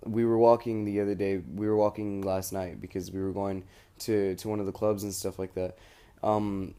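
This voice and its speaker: Young man, American accent, low pitch, very relaxed dark tone, informal rambling, spoken diary. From United States. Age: young adult. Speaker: student recording an audio log abroad.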